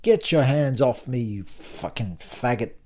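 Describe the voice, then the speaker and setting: A man's voice: medium pitch, expressive, unhurried, warm tone, close to an everyday voice. A man voicing a volatile, sarcastic, foul-mouthed drunken man in an audiobook. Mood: angry.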